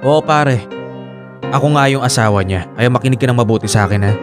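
A protective young Filipino husband in a radio drama, played by a man, with a mid-pitched voice. Music runs clearly behind his lines. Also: a little fast, close to an everyday voice. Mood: jealous warning. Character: possessive, jealous young husband, firm and protective.

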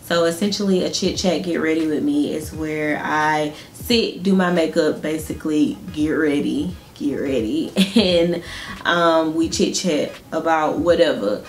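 Chatty Southern woman, lowish voice. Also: unhurried. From Georgia, US. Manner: chatty, laughs often, addresses viewers directly. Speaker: beauty vlogger. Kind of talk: casual chit-chat while doing makeup. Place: small indoor room at home.

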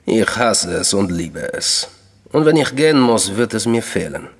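An actor playing an enigmatic Russian official in a crime radio drama, courteous, deliberate and coolly menacing. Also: male voice, fairly low pitch, lively intonation, slightly rough, in a large hall, full character voice. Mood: coolly confessional.

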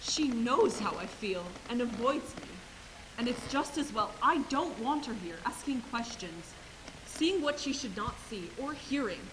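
A young woman acting a resolute, compassionate Mother Superior in a stage play, with earnest, deliberate delivery. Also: fairly high pitch, lively intonation. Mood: firm, sorrowful. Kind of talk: amateur stage acting in a high school play. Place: theater stage, indoors. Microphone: distant camera. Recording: hissy stage recording from audience camera.

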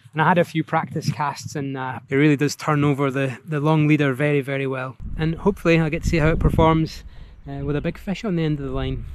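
Higher-pitched Scottish man chatting outdoors. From Scotland. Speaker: fishing vlogger. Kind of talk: casual chat to camera. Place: outdoors on a grassy riverbank.

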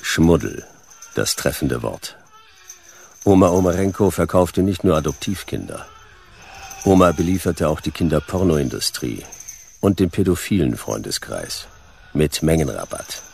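A man playing a laconic private detective in a noir sci-fi radio play, dry and deadpan, with a gravelly voice. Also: a bass voice, unhurried, exaggerated voice. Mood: matter-of-fact. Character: laconic, hard-boiled male private detective.

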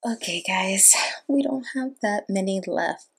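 A young adult woman giving casual reaction commentary in a gushing, expressive way. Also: medium pitch, unhurried, creaky, slightly rough. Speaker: host. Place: small bedroom, indoors. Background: music.

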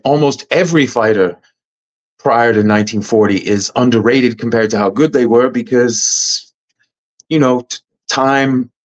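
A loud-voiced man hosting a casual panel discussion over a video call. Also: highs cut off. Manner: loud, booming voice. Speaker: host. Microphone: computer mic on a video call.

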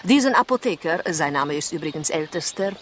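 A woman's voice: sits low, expressive, brisk. Female spy, poised, coaxing, patronizing, 1960s radio crime drama, slightly noisy recording. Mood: confiding, matter-of-fact. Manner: coaxing, condescending endearments. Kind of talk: 1960s German radio crime play acting.

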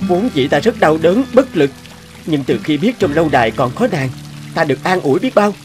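A lovelorn young cartoon prince. He speaks in a courtly, earnest way, slightly high for a man, with music behind him. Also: sing-song, fairly quick. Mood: tender, earnest. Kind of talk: cartoon fairy-tale dubbing.

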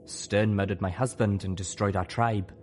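A man voicing a gentle but resolute zebra mother in a storybook, in a solemn, measured manner. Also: fairly low pitch, steady tone, a little hiss or hum, lightly acted.

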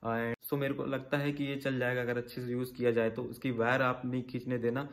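A man's voice: mid-range voice, little pitch movement, brisk. A man giving an informal product review and tutorial, talking to viewers like friends. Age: 20s. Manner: informal, addresses viewers as guys and bhai. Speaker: vlogger. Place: bedroom at home.

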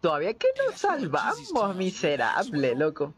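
A man's voice: sits high, huge pitch swings, a little slow, fairly bright tone. A man in his early twenties with a Latin American accent, casually commenting on a game in slangy, joking talk. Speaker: game streamer. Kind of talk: casual playthrough commentary, reading subtitles aloud. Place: indoor room at home. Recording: dull lo-fi stream audio with game noise. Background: video game sound.

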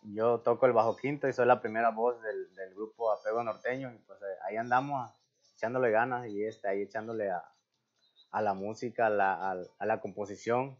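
A man's voice: lowish voice, steady tone, brisk, highs cut off. Laid-back male band singer. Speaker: band singer and bass player. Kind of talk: casual chat.

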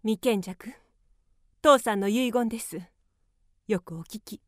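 Steadfast mother, radio drama: grave, restrained, a touch high. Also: slow pace, no room sound, studio quality. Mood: solemn. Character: solemn, steadfast widowed mother of a teenage son. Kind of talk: theatrical radio drama acting. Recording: music behind the voice.

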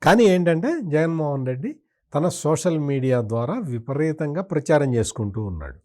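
A man's voice: fairly high pitch, lively intonation, normal speed, dark tone. Opinionated man giving political commentary. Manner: opinionated, critical, emphatic repetition. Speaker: political commentator being interviewed.

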